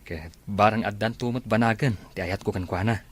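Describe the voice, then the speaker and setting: A man acting as an earnest, courteous suitor in an audio drama. His voice is low and a bit husky. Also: moderate pace. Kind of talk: Ilocano radio-style drama acting.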